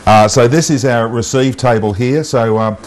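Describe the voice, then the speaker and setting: An Australian man presenting an unscripted amateur TV walk-through, halting often with ums and uhs. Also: mid-range voice. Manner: halting, with long um and uh pauses. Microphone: wireless mic pack. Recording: noisy amateur TV transmission audio.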